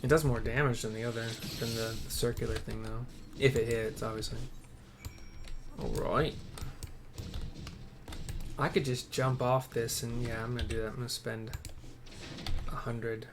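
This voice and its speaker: Guy doing a chill let's play, lots of vocal fry, sweary slang, keys clacking faintly behind. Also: mid-range voice, flat delivery, normal speed, long pauses, background noise. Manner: slangy, profane, thinking aloud. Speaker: gaming video host. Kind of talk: casual let's play commentary.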